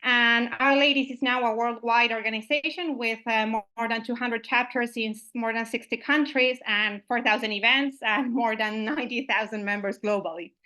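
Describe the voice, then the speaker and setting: A woman's voice: fairly high pitch, steady tone, normal speed, hardly any pauses, bright, forceful tone. A woman from Uruguay, opening an online meetup as its host, friendly and full of "uh" and "I mean" fillers, over a video call microphone.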